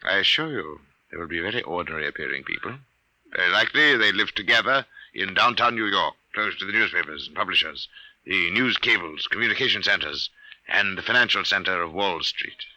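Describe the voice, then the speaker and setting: Radio drama casting: formal, obsessive rich eccentric, very low, hard bright voice, precise diction. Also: male voice, expressive, a little fast, dry recording, exaggerated voice, rough recording. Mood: pompous, insistent. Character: formal, wealthy, eccentric male client obsessed with alien invasion. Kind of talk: 1950s radio drama acting.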